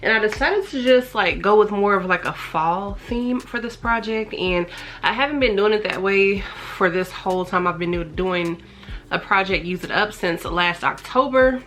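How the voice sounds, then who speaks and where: A woman in her thirties, a little hoarse and congested from a cold, chatting on camera as she reviews body creams, filmed in a small bedroom on a compact camera's built-in mic. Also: mid-range voice, normal speed, fairly bright tone. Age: 30s. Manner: chatty, enthusiastic about scents. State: congested and slightly raspy from a cold. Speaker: beauty and fragrance vlogger. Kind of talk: casual product review to camera. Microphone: compact camera's built-in mic at close range.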